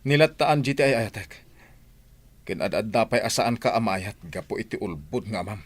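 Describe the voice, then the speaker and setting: A man's voice: on the low side, normal speed, natural voice. An actor playing a blunt, stern man in an Ilocano village radio drama. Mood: angry.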